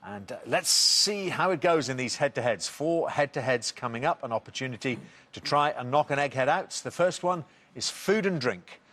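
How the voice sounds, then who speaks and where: A man's voice: medium pitch, expressive, a bit bright, slight background noise. A man hosting a TV quiz show, reading out questions and bantering lightly with contestants. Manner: brisk, reads questions clearly, light banter.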